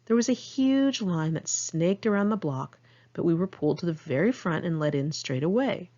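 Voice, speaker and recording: A woman with an American accent narrates in a fairly low voice, on a slightly muffled, lo-fi recording. She reads personal journal entries like an audiobook, informal and candid. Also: expressive, moderate pace, mellow. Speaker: author reading own memoir.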